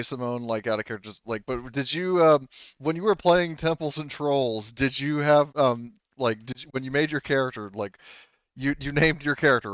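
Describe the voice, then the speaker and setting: A man narrates a tabletop game casually as its game master. His voice is smooth and medium in pitch, though the audio is a little dull. He rambles and often restarts sentences. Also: a bit bright, no room sound. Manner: rambling, with many false starts.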